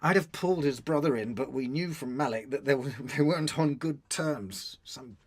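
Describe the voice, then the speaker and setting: An actor playing a stubborn, driven intelligence handler with a British accent, terse and defensive, in a radio drama. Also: male voice, mid-range voice, normal speed, slightly rough, close to an everyday voice.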